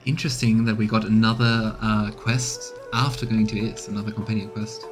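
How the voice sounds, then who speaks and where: A man's voice: fairly low pitch, level tone, a little fast, faint music behind. A man in his thirties doing casual, playful game commentary and narration as a streamer, musing as he goes. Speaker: streamer, let's player. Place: small indoor room on webcam.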